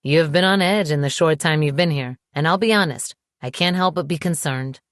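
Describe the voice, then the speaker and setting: Woman voicing a calm, patronizing man, low-pitched. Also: fairly quick, dry recording, studio quality, lightly acted. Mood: patronizing concern. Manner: smooth, condescending. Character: calm, confident, patronizing adult man, an ex-boyfriend.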